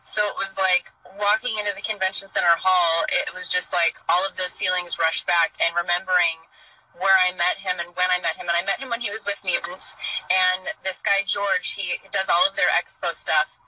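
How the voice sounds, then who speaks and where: A woman's voice: on the low side, flat delivery, normal speed, pushed and bright, no room sound, far from the mic, rough recording. A woman in her thirties, a guest on a live show, chatting openly and swearing freely. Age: 30s. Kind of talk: casual chat. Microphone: phone in selfie mode.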